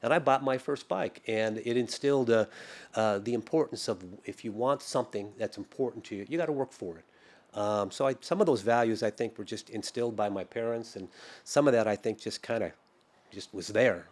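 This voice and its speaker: A man's voice: lowish voice, a little fast. A middle-aged man talking earnestly and reflectively as a podcast guest, often restarting his sentences. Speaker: podcast guest, private lender. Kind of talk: conversational interview.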